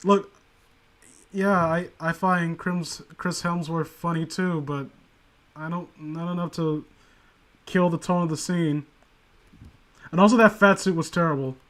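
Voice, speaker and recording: High-voiced man, profane joking banter. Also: steady tone, measured pace, pauses often, fairly bright tone. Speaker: host of a story reading stream. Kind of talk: casual chat, ranting.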